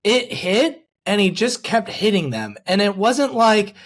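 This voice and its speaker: A man's voice: high-pitched, big pitch swings, unhurried. Enthusiastic man hosting casual sports chat. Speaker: sports podcast host.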